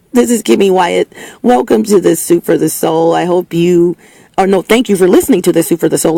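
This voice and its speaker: Gravelly, emphatic woman host, slightly hoarse. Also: mid-range voice, mellow, no echo. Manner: emphatic, preachy, repeats herself with frequent restarts. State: slightly hoarse, voice lower than usual. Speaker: host of a spoken opinion segment. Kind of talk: casual opinionated monologue. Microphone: speaking into a recording setup with a level meter.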